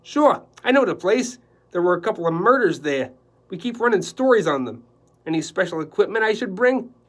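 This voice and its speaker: A man reading aloud and voicing a loud, breezy, joking male photographer. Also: high voice, sing-song, brisk, voice pushed up. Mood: brash.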